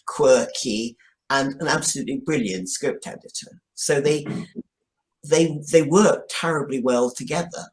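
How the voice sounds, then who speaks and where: An older man reminiscing as an interview guest, speaking in measured, emphatic phrases with deliberate pauses. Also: medium pitch, low-quality recording. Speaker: podcast guest, television scriptwriter. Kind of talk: reflective interview recollection.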